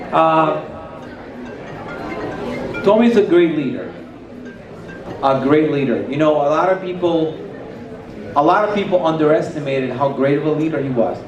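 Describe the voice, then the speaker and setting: A middle-aged Puerto Rican man whose first language is Spanish, giving a rambling, anecdote-filled speech at a retirement party into a handheld mic on a stage. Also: fairly high pitch. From Puerto Rico. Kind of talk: casual speech to a party crowd. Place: large hall with a stage, indoors. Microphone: handheld mic, filmed by a far camera. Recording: echoey hall from far off.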